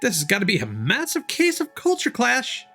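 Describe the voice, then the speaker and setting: Man putting on a high, chirpy little fairy companion. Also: huge pitch swings, normal speed, sharp tone, soft background music, exaggerated voice. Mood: surprised. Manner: refers to self in third person, exclamatory. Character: small chirpy floating fairy companion, eager and childlike. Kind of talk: playful voiced reading of game dialogue.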